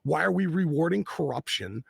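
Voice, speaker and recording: A man's voice: a little high, lively intonation, normal speed, vocal fry, breathy edge, dry recording, clean studio sound. A man in casual political conversation, talking bluntly and passionately with plenty of swearing. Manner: blunt and profane, impassioned.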